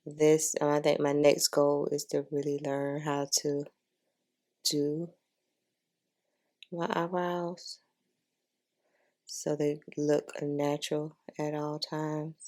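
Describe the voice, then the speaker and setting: A woman's voice: deep voice, level tone, takes it slowly, pauses often, warm tone. A woman talking in a casual, chatty way as a beauty vlogger giving a makeup tutorial, informal and repeating herself for emphasis.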